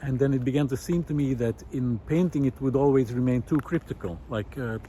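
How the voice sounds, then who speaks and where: A man's voice: medium pitch, fairly quick, warm tone, slight background noise. A man giving an unscripted, reflective monologue, thoughtful and hesitant as he searches for words. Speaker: visual artist turned ecology project host.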